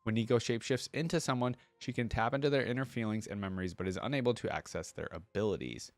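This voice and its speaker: Low-voiced man, very dry close sound. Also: quick pace, dry recording. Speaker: reaction video host. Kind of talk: reading wiki text aloud with casual commentary.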